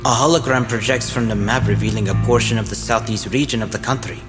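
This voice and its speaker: A man's voice: medium pitch, steady tone, quick pace, lightly acted. Man as a wry young recruit over prominent music. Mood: curious. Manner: casual, sardonic, swearing. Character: wry young male recruit, streetwise narrator. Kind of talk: audio drama narration and dialogue.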